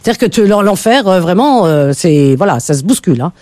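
A woman's voice: fairly low pitch, expressive, brisk, warm tone, no room sound. A woman hosting a late-night call-in radio show, her voice close and dry at a studio microphone. She talks in a chatty, emphatic way and stacks repeated words when something bothers her. The tone stays conversational.

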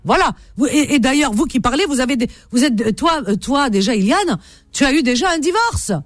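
A woman's voice: sits high, expressive, a little fast, dry recording, studio quality, faint music behind. A woman hosting a radio call-in show, lecturing listeners insistently and repeating her points.

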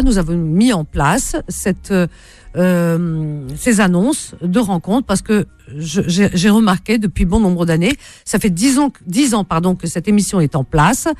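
A woman's voice: medium pitch, darkish tone, no echo. A woman hosting a radio call-in, chatting casually and familiarly, often repeating back what she is told. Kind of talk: casual live radio chat.

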